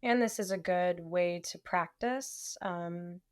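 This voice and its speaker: A woman guest on a podcast, talking in a relaxed, agreeable and thoughtful way. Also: on the low side, slow, clear, resonant tone, no room sound, clean studio sound. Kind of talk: casual chat.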